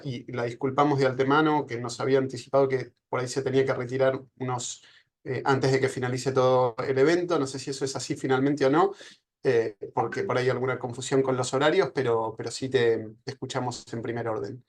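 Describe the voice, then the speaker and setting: A man moderating a formal event, introducing the next speaker in a measured way. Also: medium pitch, fairly even intonation. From Argentina.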